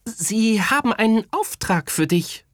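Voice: mid-range voice, lively intonation, unhurried, no room sound, clean studio sound, pitched above the performer's own voice, lightly acted. A storyteller voicing a friendly, eager schoolboy in a children's audiobook. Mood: eager, helpful. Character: Kaya, friendly eager schoolboy with bright excited voice.

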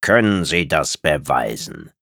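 A man voicing a cold, formal female reptilian housekeeper who speaks slowly and condescendingly. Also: rumbling low, sing-song, vocal fry, fairly bright tone, no room sound, studio quality, pitched below the performer's own voice, exaggerated voice. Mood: curt. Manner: slow, icy, condescending.

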